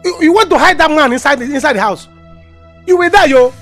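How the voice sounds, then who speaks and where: A woman's voice: sits high, fast talker, faint music behind. A woman playing a nosy village neighbour who accuses and gossips. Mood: accusing.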